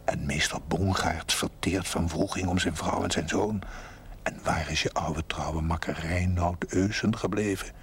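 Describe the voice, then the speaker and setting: An actor playing a stern inner voice that reproaches a village chaplain in a deliberate, theatrical television drama monologue. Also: male voice, deep voice, steady tone, slightly rough, heavily acted. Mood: reproachful, ominous.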